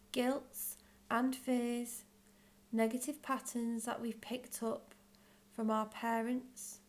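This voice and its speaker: A woman leading a guided visualisation in scripted narration, with measured, gentle phrasing and long pauses. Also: fairly high pitch. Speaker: guided meditation leader.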